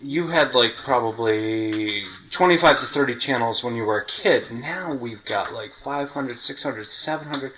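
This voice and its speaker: A man's voice: mid-range voice, moderate pace, hardly any pauses, fairly bright tone, poor audio. A middle-aged man hosting a podcast, chatting casually and reminiscing in a talkative way, often rephrasing himself. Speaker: podcast host.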